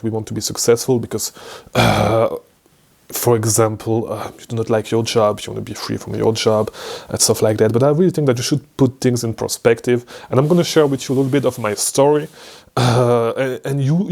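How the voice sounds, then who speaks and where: A man's voice: mid-range voice, vocal fry, darkish tone. A young man from Belgium whose first language is French, speaking English with a Belgian French accent, chatting loosely to camera as an online business coach, rambling with fillers and restarts. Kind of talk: casual freestyle talk to camera.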